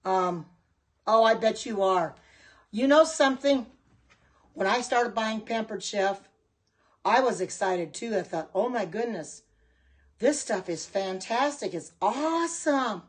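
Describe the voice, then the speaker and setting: A middle-aged woman chatting casually on a livestream, reading out and answering viewer comments as she goes. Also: mid-range voice. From Oregon. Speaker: livestream host and kitchen products seller.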